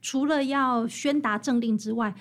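A woman guest with a fairly high voice and a Taiwanese Mandarin accent talks in an interview. She explains things conversationally and with animation, building her account through vivid examples. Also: normal speed. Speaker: interview guest, author and researcher of old songs.

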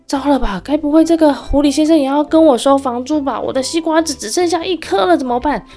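A woman's voice: sits high, fast, warm tone, faint music behind, pitched above the performer's own voice. A woman voicing a small, timid young hedgehog in a children's story. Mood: worried.

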